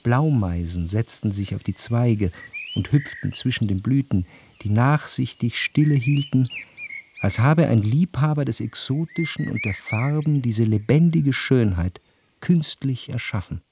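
An actor playing a nostalgic gentleman narrator in a literary radio drama, recalling his past in contemplative, lyrical, measured phrases. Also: medium pitch, lively intonation, background noise. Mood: wistful, contemplative. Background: faint instrumental music.

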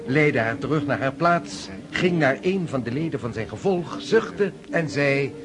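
Smooth, ingratiating young lieutenant. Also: male voice, on the high side, animated, measured pace. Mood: sly, gallant. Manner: polite, deferential. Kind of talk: radio drama acting.

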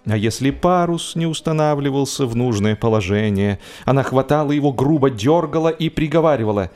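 A man with a mid-pitched voice reads a humorous story aloud over music. His delivery is expressive and measured, with deliberate pauses, in the manner of a scripted audiobook. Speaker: audiobook narrator. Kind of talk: scripted humorous literary narration.

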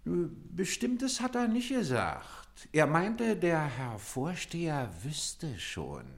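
A servile clerk in a German radio play, with a rather high, lively voice. He speaks slowly and haltingly, formal and always deferential to his master. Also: full character voice. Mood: cautious, deferential. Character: servile, dutiful, hesitant male clerk reporting to superior.

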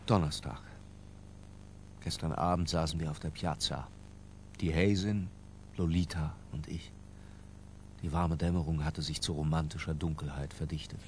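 An actor playing an obsessed, middle-aged European intellectual who narrates his diary in a radio play, measured, erudite and disdainful. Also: extremely low pitch, fairly even intonation, darkish tone, a little hiss or hum. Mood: brooding, confessional.

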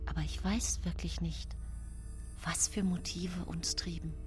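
An actress plays an accused woman poisoner in a radio play, widowed and haunted. She speaks in a deliberate, confiding way that can sink into a whisper. She sounds calculating, forever justifying herself. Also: fairly low pitch, steady tone, faint music behind, lightly acted. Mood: self-justifying, defensive. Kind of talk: radio drama monologue.

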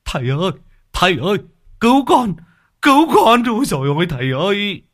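A man's voice: sits high, sing-song, a little fast, fairly bright tone, voice pushed up, exaggerated voice. A man voicing a panicked old man who wails for help. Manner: wailing.

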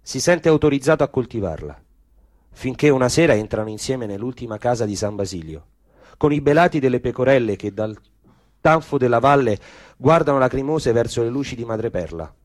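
A man narrating literary prose as a stage actor, with dramatic, deliberate phrasing. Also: medium pitch, expressive, dry recording.

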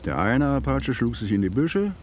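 An actor playing a rough, boastful frontier Indian hunter telling war stories in a German radio drama. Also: male voice, mid-range voice, big pitch swings, moderate pace, soft background music, close to an everyday voice. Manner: boastful, colloquial storytelling.

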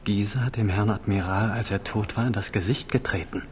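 A male actor plays a grave captive nobleman in a radio play. His low voice is subdued and resigned, with faint background noise. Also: fairly even intonation, brisk, lightly acted. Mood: grim, resigned.

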